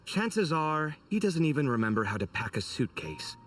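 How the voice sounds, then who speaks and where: Dramatic exasperated game scholar, highish voice that jumps all over, sarcastic but good-hearted, music under it. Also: male voice, big pitch swings, normal speed, a bit bright, recorded from a distance. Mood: warm, teasing. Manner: theatrical, sarcastic, emphatic. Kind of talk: scripted video game voice acting.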